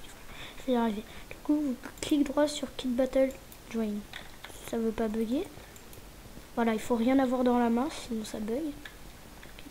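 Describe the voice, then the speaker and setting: A fairly high-pitched woman gives a casual walk-through of a game server, sounding a little stuffy from a cold. She talks hesitantly, restarts her sentences and keeps dropping into whispered asides. A faint hiss sits under her voice. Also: mellow, low-quality recording. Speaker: gaming channel host. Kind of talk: casual gameplay tour.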